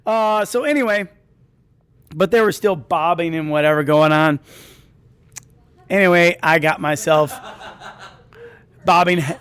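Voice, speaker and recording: High-pitched man telling a rambling comic story. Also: a bit bright, smooth. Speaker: storyteller at a live comedy story night. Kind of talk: comedic personal storytelling to an audience.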